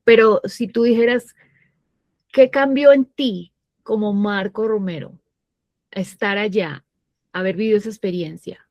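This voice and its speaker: A woman's voice: medium pitch, measured pace, pauses often, a little mellow, no room sound. A woman interviewer speaks in a mid-range voice and phrases her questions thoughtfully, circling toward what she wants to ask. The sound is close and dry.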